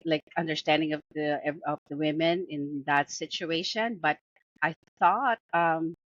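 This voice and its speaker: A woman's voice: sits low, unhurried, a bit bright. Chatty Filipino-accented woman, lo-fi. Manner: chatty, full of fillers and restarts, laughs often. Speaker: book podcast co-host. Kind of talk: casual book review chat. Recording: dull lo-fi dry sound.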